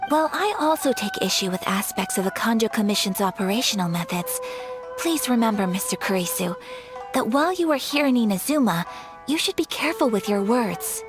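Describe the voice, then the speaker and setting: An actress voicing a young noblewoman in a game, with polite, measured and formal speech. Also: a little high, sing-song. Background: game music.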